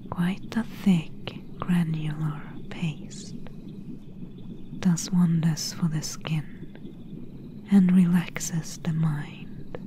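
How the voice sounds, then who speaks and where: A woman playing a gentle, soothing swamp witch in a soft-spoken, whispery ASMR roleplay. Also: fairly low pitch, slight background noise. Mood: quietly proud. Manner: slow, hushed, whispery and soothing.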